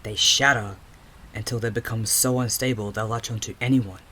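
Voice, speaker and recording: Man playing a hesitant, low-voiced young man. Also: steady tone, sharp tone, slight background noise. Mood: absorbed, pondering. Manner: halting, stammering, thinking aloud. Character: Rayne, hesitant young man with fractured memories. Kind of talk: audio roleplay drama acting. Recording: music under the voice.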